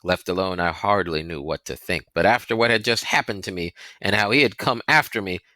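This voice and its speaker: A man's voice: deep voice, fairly quick, sharp tone, no room sound. A man reading a novel aloud as a dramatic, scripted narration. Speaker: audiobook reader.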